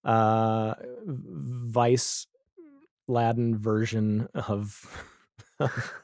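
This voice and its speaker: A man chatting casually as a podcast guest, telling stories in a halting, conversational way. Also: mid-range voice, little pitch movement, vocal fry, darkish tone, dry recording, clean studio sound. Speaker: podcast guest, audio drama creator. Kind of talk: casual chat.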